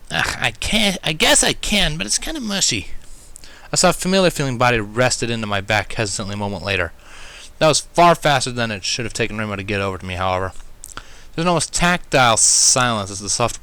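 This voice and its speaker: A man reading a first-person story aloud as an expressive narrator. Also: fairly low pitch, clear, resonant tone. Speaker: fan fiction reader, narrator.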